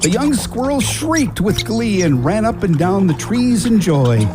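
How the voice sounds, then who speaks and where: A man's voice: high voice, big pitch swings, a little slow. A man narrating a scripted children's story as the narrator of a radio drama. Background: guitar music.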